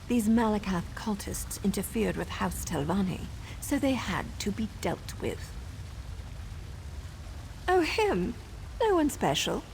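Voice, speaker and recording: A woman's voice: mid-range voice, slight background noise, rough recording. An actress voicing a wry, teasing and self-assured female assassin in a fantasy video game. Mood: smug, satisfied. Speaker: assassin and mentor. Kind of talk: video game character dialogue.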